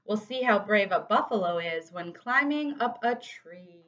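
A woman's voice: medium pitch, expressive, a little slow, fairly bright tone, muffled recording. A woman voicing a proud, defiant bear from a children's storybook, boastful and challenging.

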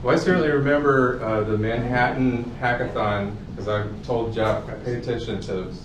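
A man's voice: medium pitch, steady tone, moderate pace. A man hosting a meetup, talking casually to the people there. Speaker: meetup host. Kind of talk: casual chat. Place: indoor meetup room. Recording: distant, echoey room, clear noise.

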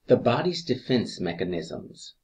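A man reading scripted exam review questions as an instructor, deliberately, pausing between each answer choice. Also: mid-range voice, sing-song, darkish tone.

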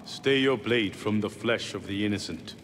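An actor playing a severe, probing council member of an order, formal and solemn in a game. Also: male voice, on the low side, normal speed, a bit bright, long reverb tail, slight background noise, lightly acted. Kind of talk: solemn video game acting.

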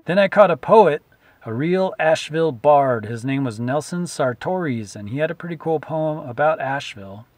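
Man, 30s, casual vlog narration, slightly high voice, dry close sound, filler words, in a car. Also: expressive, moderate pace, a little mellow, no room sound. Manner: easygoing, with frequent "uh" fillers. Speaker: vlogger and musician. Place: inside a parked car at night. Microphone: camera facing him at close range.

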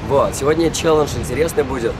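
A hoarse young man who has nearly lost his voice to a cold, casually explaining a group challenge outdoors on a sports ground, with loose, rambling phrasing. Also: medium pitch, fast talker, very noisy. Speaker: fitness vlogger hosting a challenge. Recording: music playing over it.

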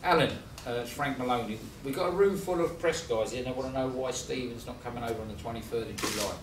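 A man's voice: mid-range voice, quick pace. A middle-aged man, a boxing promoter chairing a press conference and talking informally. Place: room press conference at a long table. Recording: roomy, distant mic, clear background noise.

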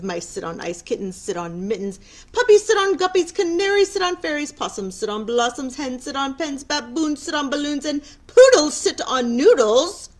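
A fed-up storybook cat recites a long list of rhymes in a single breathless stretch, as a woman reads the part aloud. Her voice is a little high and jumps all over the place.

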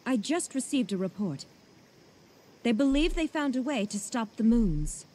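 A woman's voice: fairly high pitch, sing-song, unhurried, dark tone, slight background noise. A woman playing a haughty, commanding young noblewoman fighter in a video game cutscene. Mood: composed, haughty.

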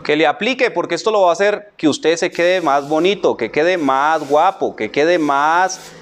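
A Colombian man with a high-pitched voice talks through a barbering tutorial. He speaks in loose street slang, repeating little phrases and addressing the listener as a buddy. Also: moderate pace. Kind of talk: casual hands-on tutorial narration.

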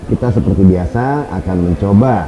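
A man in his forties, a karate instructor, explaining techniques in an easygoing way with frequent filler pauses. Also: on the low side, muffled recording, over music. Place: outdoor café courtyard at night.

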